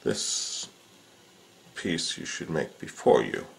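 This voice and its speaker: A man's voice: extremely low pitch, big pitch swings, slow pace, gravelly. A man giving a casual how-to demonstration of repairing a fishing rod, talking unhurriedly with frequent filler sounds as he works at an indoor bench. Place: indoors at a workbench.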